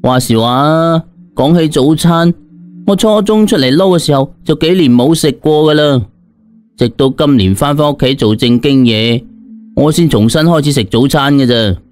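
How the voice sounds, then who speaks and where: A man's voice: on the high side, a little mellow, lightly acted. A man voicing a lazy, hungry young former hustler in an audiobook, talking casually in slang.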